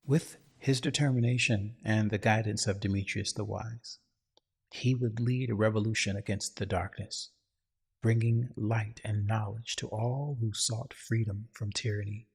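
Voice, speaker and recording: A husky-voiced man reading a dramatic scripted story in a solemn, grandiose way. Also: fairly low pitch, steady tone, unhurried. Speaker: narrator.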